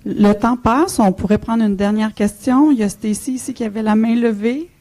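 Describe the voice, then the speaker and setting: A woman moderating a conference session who briskly keeps the questions on time. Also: a little high, warm tone.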